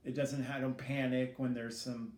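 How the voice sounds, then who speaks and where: A middle-aged man giving casual, reflective interview answers in a hesitant, halting way, as a student teacher being interviewed. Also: medium pitch, little pitch movement, a little mellow. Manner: hesitant, halting, self-critical. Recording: echoey room with faint background noise.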